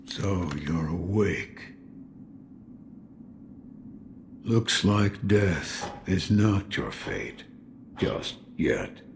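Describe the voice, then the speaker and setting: Game role: weary old hermit sculptor, sardonic, slow-spoken, deep gravel. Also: male voice, very animated, noisy background, exaggerated voice. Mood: grim, musing. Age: older. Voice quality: gravelly, low. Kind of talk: video game cutscene acting.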